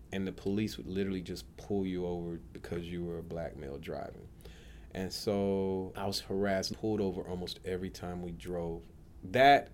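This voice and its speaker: Deep-voiced man telling his personal story. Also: darkish tone, slight background noise. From United States, Atlanta area. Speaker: public defender sharing personal story. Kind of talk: personal testimony, autobiographical storytelling.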